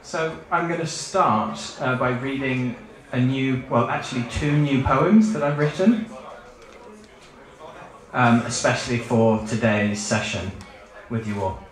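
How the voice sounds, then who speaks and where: A man's voice: medium pitch, expressive, a little slow. A man from the UK with a British accent, a performance poet speaking in a wry, offhand way to a live audience. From United Kingdom. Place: book fair event room. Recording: echoey live event hall.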